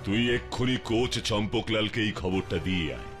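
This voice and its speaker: A man playing a principled, measured superhero who gives advice in a cartoon dub. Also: lowish voice, over music, close to an everyday voice. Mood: calm, resolute. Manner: measured, advising. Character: steady, principled adult strongman superhero. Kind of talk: cartoon dubbing.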